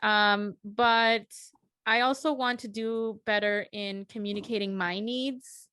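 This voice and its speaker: A woman's voice: mid-range voice, fairly even intonation, slow, bright, forceful tone, clean tone, no room sound. A young woman co-hosts a casual podcast in an earnest, reassuring tone, circling her point with fillers. Her voice sits mid-range and sounds dry and close to the mic. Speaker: podcast co-host. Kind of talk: casual chat.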